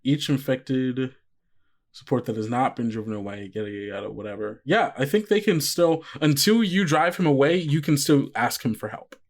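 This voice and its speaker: Man casually running a tabletop game. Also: medium pitch. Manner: informal, halting rules explanation. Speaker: game master. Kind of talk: casual actual-play narration.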